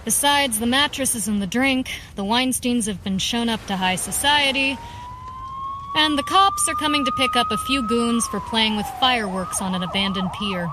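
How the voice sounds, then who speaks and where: A brash young superheroine, high and very lively in pitch. She wisecracks wryly in a radio drama, with sirens and noise behind her. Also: moderate pace. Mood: wry, matter-of-fact. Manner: wry, brash. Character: plucky, wisecracking young female vigilante heroine.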